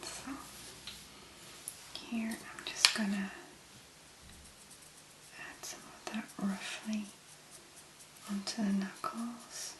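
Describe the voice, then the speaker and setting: A woman speaking very softly and breathily in a gentle, unhurried ASMR manner as she talks through a demonstration. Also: medium pitch, little pitch movement, reverberant, poor audio. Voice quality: whispery, breathy. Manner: gentle, unhurried, murmuring to the listener. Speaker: ASMR video host. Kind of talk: soft-spoken ASMR make-up demonstration.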